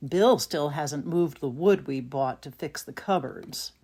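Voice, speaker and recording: A woman's voice: sits low, measured pace, warm tone, husky, exaggerated voice. A woman playing a skeptical, weary householder in an audio play. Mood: skeptical, exasperated.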